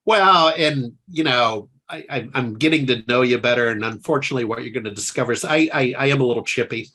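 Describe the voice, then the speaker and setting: Male interview host, casual and jokey, quick to laugh, rambling questions. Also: medium pitch, pushed and bright. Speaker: podcast host.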